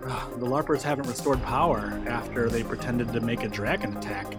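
A man's voice: mid-range voice, a little fast, close to an everyday voice. A man acting as a hesitant, loyal gamer sidekick in a tongue-in-cheek radio play. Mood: worried, doubtful. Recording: loud music behind dialogue.

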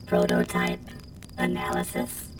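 An actor voicing a small prototyping robot that speaks in clipped, commanding single words with a deep robotic voice. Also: completely flat delivery, a little slow. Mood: terse, commanding. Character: small prototyping robot, terse, commanding, turned heroic leader. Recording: echoey, distant voice under loud music.

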